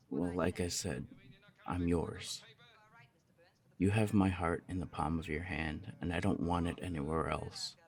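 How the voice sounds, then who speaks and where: A man playing a lovesick, earnest young punk roommate in an intimate ASMR romance roleplay, speaking in a halting way. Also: low voice, a little mellow.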